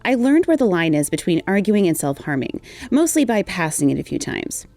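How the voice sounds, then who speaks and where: A woman's voice: on the low side, sing-song, fairly quick, darkish tone, no room sound. A woman reading a personal testimony aloud as a guest contributor. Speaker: guest reader of a written community response.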